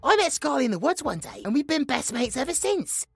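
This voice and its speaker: Lively woman, acting a cheeky adventurer. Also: high voice, a little fast, vocal fry, full character voice. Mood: shaken, chatty. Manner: informal, cheeky, British slang. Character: cheeky, irreverent young human woman with baby dragon companion. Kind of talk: fantasy audio drama acting.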